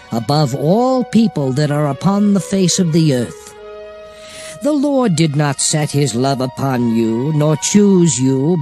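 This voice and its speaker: A man playing a solemn prophet exhorting his people, declaiming archaic scripture with deliberate phrasing in a dramatized Bible reading. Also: high voice, a little mellow, heavily acted. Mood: solemn, earnest. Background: music.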